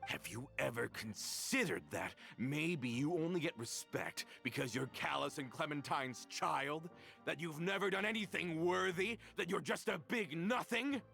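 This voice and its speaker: An actor playing a bitter, vengeful middle-aged man in a game cutscene, accusing and resentful and rising to shouts, with a gravelly voice. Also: on the high side, animated, a little slow, faint music behind, exaggerated voice. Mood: accusing, furious. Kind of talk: dubbed game voice acting.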